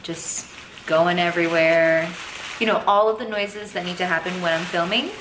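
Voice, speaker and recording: A woman's voice: on the low side, moderate pace. A woman in her thirties chatting casually as a beauty vlogger at home, rambling with playful asides. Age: 30s. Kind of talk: casual unboxing chat. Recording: echoey room, faint background noise.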